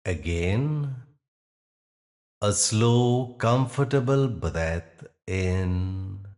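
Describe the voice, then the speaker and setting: A man guiding a meditation in soothing, measured phrases with long, deliberate pauses. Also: on the low side, animated, darkish tone. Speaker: meditation guide. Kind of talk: guided meditation narration.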